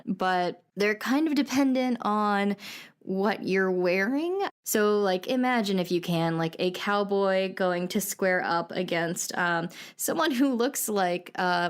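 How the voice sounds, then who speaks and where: A woman telling an anecdote casually, in a meandering, hesitant, conversational way. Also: mid-range voice. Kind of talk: improvised casual storytelling.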